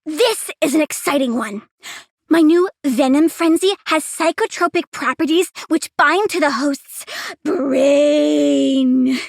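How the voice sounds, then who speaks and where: Very high-pitched bratty little villain girl. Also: takes it slowly, vocal fry, dry recording, exaggerated voice. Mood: gleeful, mischievous. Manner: childish, bratty, cutesy delivery. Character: young girl plant villain, playful, snarky, gleefully menacing child. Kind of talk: video game character voice lines.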